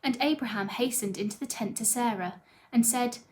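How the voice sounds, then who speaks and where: Higher-pitched woman reading scripture. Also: moderate pace. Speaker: scripture reader at a church.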